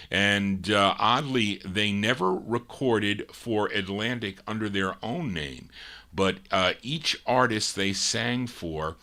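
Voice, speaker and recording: A man presents vintage records as a show host. His voice is fairly low yet bright and crisp-edged. He talks like a conversational announcer, informative about each record. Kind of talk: music show commentary introducing vintage records.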